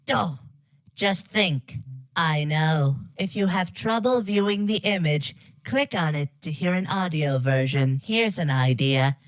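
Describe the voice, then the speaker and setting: A woman's voice: lowish voice, lively intonation, measured pace, no room sound. Matter-of-fact synthetic woman reading, dry sound. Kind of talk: scripted reading of game dialogue.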